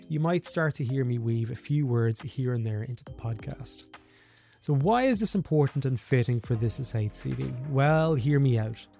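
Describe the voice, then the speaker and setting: A man's voice: a little high, a little mellow. A man in his forties with an Irish accent, hosting a podcast, first reading a scripted intro and then chatting loosely with many fillers. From Ireland. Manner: rambling with fillers in conversation. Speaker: podcast host. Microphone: studio mic with headphones.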